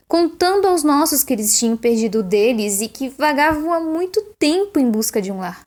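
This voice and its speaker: Woman playing alien company heiress, high voice, proud, formal, solemn, theatrical, sci-fi audio drama testimony. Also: natural voice.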